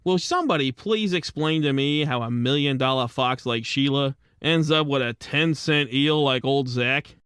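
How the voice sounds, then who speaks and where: An actor playing a wisecracking, streetwise penguin private eye who narrates in hard-boiled noir radio drama style. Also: male voice, mid-range voice, normal speed, few pauses, fairly bright tone, clean tone, dry recording, clean studio sound, lightly acted. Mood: wry, reflective. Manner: streetwise slangy wisecracking delivery. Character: wisecracking penguin private detective, adult male, lovestruck and world-weary.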